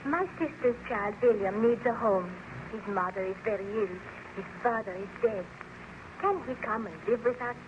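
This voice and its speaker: Female actor, devoted aristocratic wife for vintage radio drama; refined, earnest, medium pitch, dull and noisy recording. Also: normal speed, natural voice. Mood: earnest, hesitant. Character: gentle, anxious baroness, devoted wife soothing her husband. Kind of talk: 1930s radio drama acting. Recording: muffled, noisy old-time radio recording.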